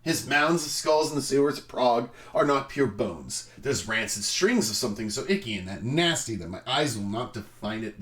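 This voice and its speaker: A man streaming, speaking in an emphatic, theatrical way with dramatic pauses. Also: medium pitch. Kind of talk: dramatic reading of a film review.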